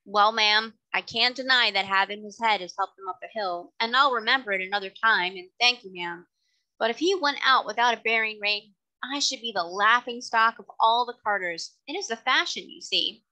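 A woman's voice: fairly high pitch, moderate pace, pushed and bright, low-quality recording. A woman voicing a blunt, plain-spoken working carter in a storybook reading. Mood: gruffly obliging. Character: gruff working carter man, blunt but obliging. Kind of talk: audiobook character reading.

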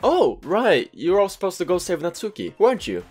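Animated woman reading a sponsor spot. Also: on the low side, fairly quick, loud music behind the voice. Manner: animated, playful. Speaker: channel host. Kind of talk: scripted sponsor read.

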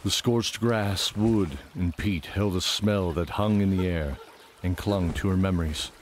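A man narrating a scripted fantasy drama in a measured, deliberate, dramatic way. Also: deep voice, slight background noise.

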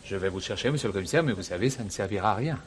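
An actor playing a fussy, apologetic restaurant waiter in a detective radio play, speaking formally. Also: male voice, on the low side, animated, racing, a little hiss or hum, lightly acted. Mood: apologetic, reluctant.

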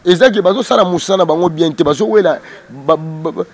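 A Congolese man from Kinshasa speaks very quickly in a fairly high voice, as a guest in a heated interview. He is emphatic and combative, often hammering a word several times over. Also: slightly rough. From Democratic Republic of the Congo. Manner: emphatic, repeats words, combative. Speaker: interview guest, music group spokesman. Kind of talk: heated street interview in Lingala mixed with French. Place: outdoors on a porch. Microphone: handheld interviewer's microphone.

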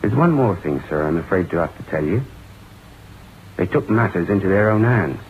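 An actor playing a matter-of-fact police sergeant in an old-time radio drama, speaking in a measured, soothing way. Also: male voice, sits low, lively intonation. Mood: calm, sober. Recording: tinny old radio recording, faint noise.

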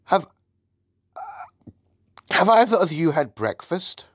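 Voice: medium pitch, sing-song, takes it slowly, no room sound, clean studio sound, voice pushed up. A storybook reader voicing a gracious, self-composed queen with a polite, formal manner.

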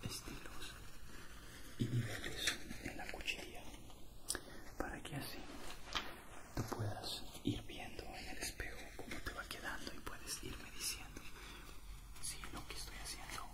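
Young man, whispered ASMR roleplay, soothing barber, breathy, slow-mannered, widely swooping intonation. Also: medium pitch, wildly swooping pitch, rough recording. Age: 20s. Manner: gentle, soothing, unhurried. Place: indoor barbershop.

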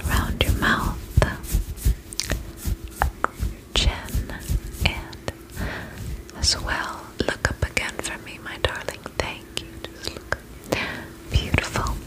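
A woman playing a soothing, attentive vampire spa beautician in an ASMR roleplay, whispering gently and reassuringly in a breathy voice. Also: close to an everyday voice, rough recording. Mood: soothing, tender. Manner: gentle, reassuring, slow and unhurried.

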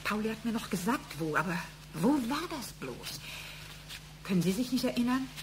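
A woman's voice: fairly high pitch, very animated, normal speed, roomy sound, noisy background. A woman playing a flustered, fretful middle-aged secretary of long service in a German radio play, in an agitated manner. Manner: agitated, fretting.

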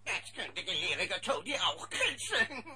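A man's voice: high voice, sing-song, measured pace, bright, forceful tone, slight background noise, full character voice. An actor playing a silly, cowardly little ogre henchman in a children's cartoon audio drama, whining and cackling in garbled phrases. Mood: sly, giggly. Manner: whining, cackling, garbled third-person speech.

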